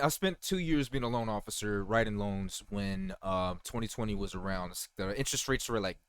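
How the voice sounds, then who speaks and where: Casual low-voiced man reacting, dry sound. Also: a bit bright, clear, resonant tone, no room sound. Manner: rambling, filler-heavy, swearing; keeps saying "you guys" to viewers. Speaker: reaction video host.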